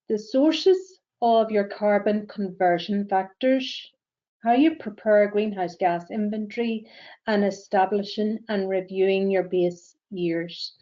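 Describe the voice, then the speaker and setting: A woman with a Northern Irish accent presenting a webinar as a greenhouse gas verifier, speaking in a measured way with frequent ums and uhs. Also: mid-range voice, warm tone, highs cut off. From Northern Ireland.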